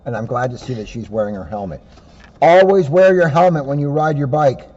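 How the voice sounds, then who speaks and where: Male police officer, casual chiming-in for kids, very lively pitch, husky tone, muffled recording. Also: brisk. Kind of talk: casual asides to children. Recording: dull, muffled low-grade audio.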